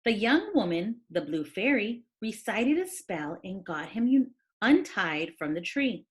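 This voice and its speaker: A woman reading a children's story aloud as a teacher, with expressive, dramatic delivery. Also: medium pitch, a little slow.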